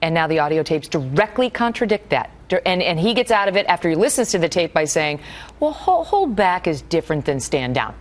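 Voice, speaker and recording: A woman's voice: fairly low pitch, big pitch swings, brisk. A woman hosting a live news interview, speaking in an emphatic, pointed way. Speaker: news host.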